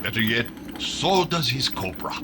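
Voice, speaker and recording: A man's voice: medium pitch, big pitch swings, measured pace, creaky. A man playing a vain, evasive, ingratiating stranger in an old-time radio comedy, on a noisy recording. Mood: suave, helpful. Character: smooth, vain, evasive handsome stranger who helps tourists.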